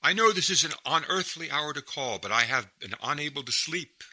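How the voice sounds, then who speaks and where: A man's voice: a little high, animated, fairly quick, sharp tone, heavily acted. An actor playing a blustering but conceding male boss in a 1940s-style radio comedy. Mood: conciliatory, flustered.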